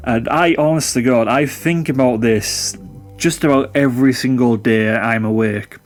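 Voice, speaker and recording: A man's voice: mid-range voice, moderate pace. Young male storyteller, conversational and matter-of-fact, mid-range voice, reflective personal spooky tale with music underneath. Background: background music.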